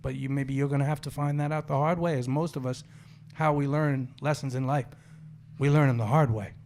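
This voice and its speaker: A man's voice: medium pitch, steady tone, fairly quick, darkish tone, a little hiss or hum. A man in his forties hosting a sports podcast, chatting casually in an opinionated, slangy, storytelling way. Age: 40s. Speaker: podcast host.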